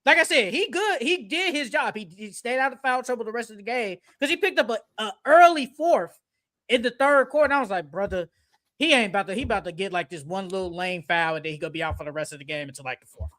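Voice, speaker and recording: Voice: medium pitch, lively intonation, fast talker, bright, forceful tone. A sports podcast co-host in a casual basketball debate, speaking in an emphatic, opinionated, slangy way.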